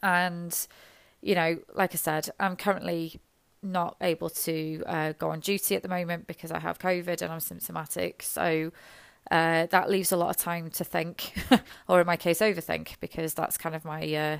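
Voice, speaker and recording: Hoarse nurse with a gravelly voice, smooth underneath, rambling, self-deprecating monologue. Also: female voice, fairly low pitch, level tone, normal speed, no room sound. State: hoarse from illness. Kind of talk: reflective personal monologue.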